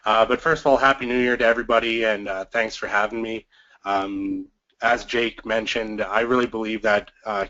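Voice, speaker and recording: A man with a lowish voice speaks with a cold, his voice worn and fading as he clears his throat between points. He talks officials through a presentation on communication. The sound is dull and lo-fi. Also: bright, forceful tone. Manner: frequent throat clearing, fillers. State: has a cold, losing his voice. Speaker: basketball referee presenter.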